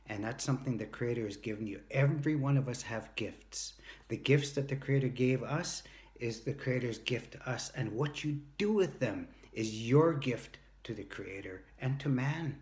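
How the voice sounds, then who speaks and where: A man's voice: medium pitch, a little mellow. A man in his early fifties giving an earnest, emphatic motivational talk, telling anecdotes straight to the camera. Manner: earnest, emphatic, anecdotal, acts out short quoted exchanges. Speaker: motivational speaker and workshop leader. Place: indoors, home room with sloped ceiling. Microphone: phone or device at arm's length.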